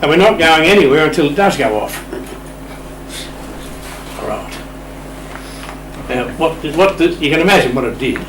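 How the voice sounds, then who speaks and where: A man's voice: a little high, expressive, normal speed, pauses often. An older Australian man telling a casual anecdote as an interview guest, in a wry, playful, understated way. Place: radio shack room. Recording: roomy, distant mic, clear background noise.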